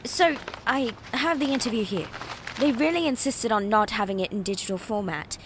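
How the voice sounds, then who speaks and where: Actress as a high-voiced, chatty young female reporter. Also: moderate pace, noisy background, natural voice. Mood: earnest. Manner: hesitant, eager, chatty. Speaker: voice actor. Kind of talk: audio drama acting.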